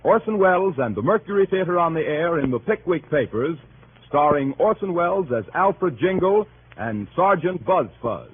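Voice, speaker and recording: A man's voice: a little high, very animated, moderate pace, noisy background. A man announcing formally and declamatorily in the style of 1930s radio. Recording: narrow-band vintage 1938 radio broadcast.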